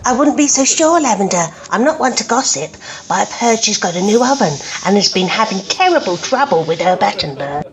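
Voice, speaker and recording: A woman plays a nosy English village gossip in a radio comedy. Her creaky voice is conspiratorial and emphatic, rising and falling with great animation. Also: mid-range voice, lively intonation, brisk, natural voice. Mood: gossipy, indignant. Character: conspiratorial, know-all village gossip, female. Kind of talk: comic radio drama acting.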